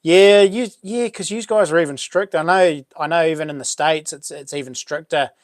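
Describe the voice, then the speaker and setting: A middle-aged man with a New Zealand accent and a boomy voice, hosting a relaxed livestream chat, rambling with many ums and restarts as he reads out viewer comments. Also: moderate pace, no echo, clean studio sound. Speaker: livestream host. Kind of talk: casual live chat reading comments.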